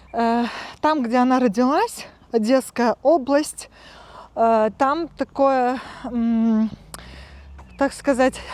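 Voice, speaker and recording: Woman, 30s, slightly high voice, casual step-by-step vlog chat, filler-heavy, walking with camera. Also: a little mellow. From Transnistria, Moldova. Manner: chatty, explaining step by step with many fillers. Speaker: vlogger. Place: outdoors and at home, walking.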